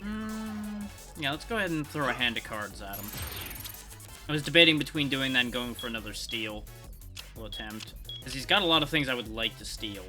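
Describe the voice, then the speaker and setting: A man's voice: mid-range voice, expressive, moderate pace, bright, forceful tone. A man giving casual live commentary on a video game, talking to himself in a wry way. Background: video game music.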